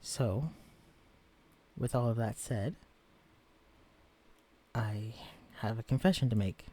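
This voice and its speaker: A man's voice: mid-range voice, slow, breathy edge. A man playing a tender, guarded shrunken man. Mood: tender, earnest. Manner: earnest, vulnerable, softly confessional. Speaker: romantic lead in an audio roleplay. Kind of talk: scripted listener-directed audio drama.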